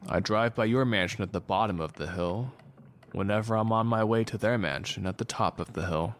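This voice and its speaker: A man acting a brooding, guarded doctor in an audio drama, with a dry, terse, deadpan delivery. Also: on the low side, fairly quick, a little hiss or hum, lightly acted. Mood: dry, cutting. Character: brooding male doctor, guarded, dryly cutting.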